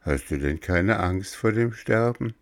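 A man voicing a patient, motherly storybook fairy who admonishes gently in a measured way. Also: lowish voice, expressive, dry recording, studio quality. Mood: grave warning. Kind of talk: storybook character voice.

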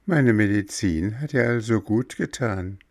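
A man voicing a patient, motherly storybook fairy who admonishes gently in a measured way. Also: fairly low pitch, expressive, no room sound, studio quality, voice pushed up. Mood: admonishing. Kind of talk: storybook character voice.

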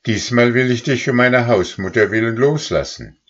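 A man's voice: medium pitch, animated, normal speed, a bit bright, highs cut off, pitched above the performer's own voice. A man reading aloud, voicing a sly female house cat who gloats in a storybook. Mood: gloating. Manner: smug, gloating.

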